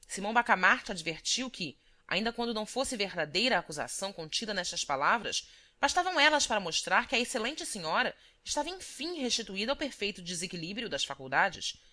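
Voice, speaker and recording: Bright-voiced woman narrating literature. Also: medium pitch. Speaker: audiobook narrator.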